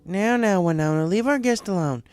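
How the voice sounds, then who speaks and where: A folksy young farm mare from a cartoon story voiced by a man, pitched well up. The pony is hospitable and plain-spoken, talking in a friendly, welcoming way over background music. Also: animated, normal speed, darkish tone, clear, resonant tone, dry recording. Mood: warm, folksy.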